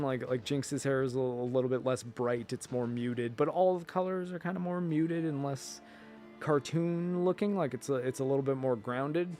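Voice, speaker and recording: A man's voice: mid-range voice, darkish tone. Casually rambling man. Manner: informal, emphatic, halting mid-thought. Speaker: video host. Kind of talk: casual rambling film and TV commentary.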